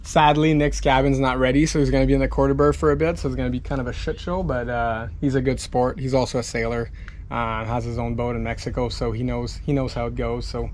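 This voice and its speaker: A man's voice: medium pitch, little pitch movement, quick pace, darkish tone, a little hiss or hum. A Canadian man in his thirties with a laid-back, slangy, sweary way of talking, chatting casually to camera as a sailing vlogger. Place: outdoors in a boat yard among hauled-out boats.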